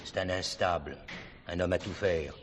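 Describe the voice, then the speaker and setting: An actor playing an authoritative, composed gendarmerie captain in a television drama, with a clipped, formal, official manner. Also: male voice, low-pitched, steady tone, normal speed, faint music behind, lightly acted. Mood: matter-of-fact. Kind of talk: 1970s French television drama acting. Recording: noisy old drama recording.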